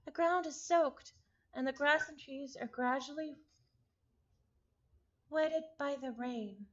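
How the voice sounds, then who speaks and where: A woman streamer reading a visual novel aloud, mixing expressive narration with casual asides. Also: sits high, slow pace, dull audio.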